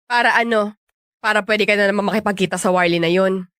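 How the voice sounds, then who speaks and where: A woman's voice: medium pitch, steady tone, fast talker, a bit bright, clean tone, no room sound, clean studio sound, lightly acted. A Filipino woman acting in a radio drama as a blunt, sarcastic young best friend who scolds and teases. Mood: mocking. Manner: sassy, tactless, nagging.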